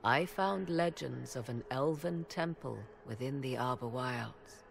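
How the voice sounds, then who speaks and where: An actress voicing a haughty, knowing fantasy game sorceress who speaks in deliberate, formal, archaic phrases. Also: female voice, extremely low pitch, creaky, full character voice. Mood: haughty, cryptic.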